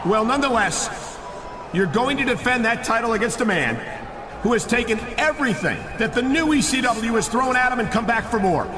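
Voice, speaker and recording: High-pitched, indignant wrestling announcer. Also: male voice, animated, a little fast, noisy background. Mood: challenging. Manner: indignant, confrontational. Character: outraged righteous male wrestling TV announcer. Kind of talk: wrestling game commentary and interview.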